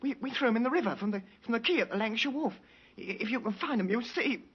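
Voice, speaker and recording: A man's voice: extremely high pitch, animated, quick pace, slightly rough, full character voice. An actor playing a frightened seventeen-year-old boy in a radio drama, stammering and pleading. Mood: desperate pleading. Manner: stammering, breathless protests. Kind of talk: 1950s radio drama acting. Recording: muffled 1950s radio recording.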